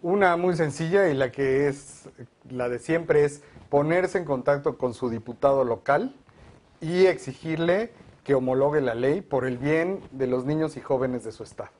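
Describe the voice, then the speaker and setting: A man, a guest advocate for education, explaining proposals in a measured way on a televised discussion. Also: fairly high pitch, a little mellow.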